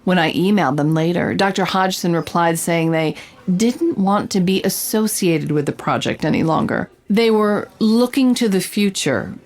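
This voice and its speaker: An actress playing a confident, sly investigative reporter in a noir-style audio drama, with a wry, knowing and measured delivery. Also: female voice, mid-range voice, lightly acted. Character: confident, sly female investigative reporter narrating her case.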